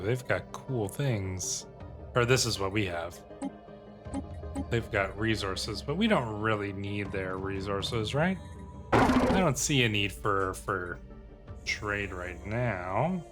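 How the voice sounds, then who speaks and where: Man musing over game music. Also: medium pitch. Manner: rambling, musing aloud in questions. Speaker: gaming video host. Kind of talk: casual let's-play commentary, thinking aloud, reading game text. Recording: music playing behind the voice.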